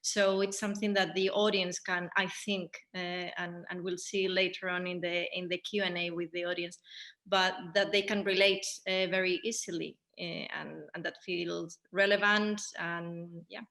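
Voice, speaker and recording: Woman moderating a discussion, pausing often. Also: fairly low pitch, level tone, fairly bright tone. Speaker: theatre director hosting a Q&A.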